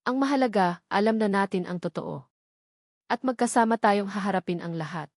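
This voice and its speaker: A woman's voice: mid-range voice, moderate pace, no room sound, studio quality, close to an everyday voice. A woman voicing a serious, wronged man who confesses his secret in an audio love drama. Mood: reassuring.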